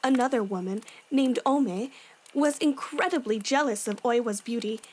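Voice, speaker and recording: A woman's voice: on the high side, normal speed, darkish tone, close to an everyday voice. A woman playing a composed, dry and sensible young demon slayer in a fan audio drama. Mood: ominous storytelling. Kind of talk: fan audio drama acting, campfire storytelling.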